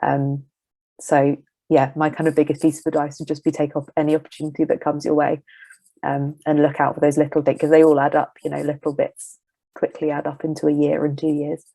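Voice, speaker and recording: Young woman, low voice, gravelly fry, mellow, dry sound. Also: brisk, dry recording, rough recording. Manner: earnest advice-giving, steady. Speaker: guest, trainee clinical psychologist. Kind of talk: casual interview answers.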